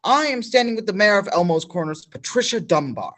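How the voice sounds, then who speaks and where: A man playing a nervous male field reporter in a school radio play, halting and full of fillers. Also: sits high, animated, a bit bright. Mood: flustered.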